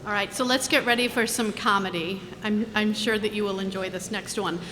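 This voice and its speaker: A woman's voice: medium pitch, steady tone, brisk, bright, forceful tone. An American woman giving an informal spoken introduction as the host of a stage show. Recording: echoey hall, some background noise.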